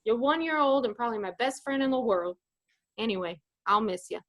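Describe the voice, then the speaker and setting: A woman playing a soft-hearted, blunt, overgrown kid of a man in a comedic radio play. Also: fairly high pitch, expressive, brisk, pitched below the performer's own voice. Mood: wistful.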